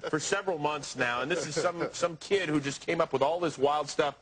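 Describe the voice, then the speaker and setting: A man's voice: a little high, fairly quick, crowd noise. A man hosting a show in a casual, hyped-up presenting style. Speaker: show host.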